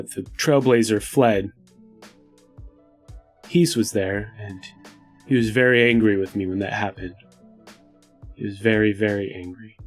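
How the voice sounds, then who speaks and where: Guy doing a guilty desert hunter, talks slow n halting, somber and earnest, music underneath. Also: on the low side, lightly acted.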